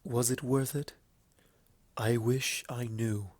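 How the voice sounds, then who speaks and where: A man voicing a solemn, guilt-burdened pony princess in a storybook reading, speaking formally and gravely in measured phrases. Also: mid-range voice, fairly even intonation, a little mellow, dry recording. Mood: regretful, subdued. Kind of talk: fan fiction storybook reading.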